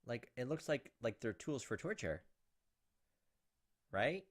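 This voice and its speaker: A man's voice: medium pitch, big pitch swings, slow. A man hosting a gaming video, reading a visual novel aloud as dramatic storytelling and breaking off for casual, off-the-cuff asides.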